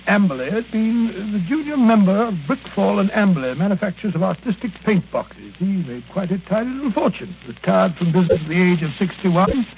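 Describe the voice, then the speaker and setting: High-voiced, blustery old English doctor. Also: male voice, creaky, exaggerated voice. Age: older. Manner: chuckling, stammering, rambling. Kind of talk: 1940s radio acting. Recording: muffled, hissy 1940s radio recording.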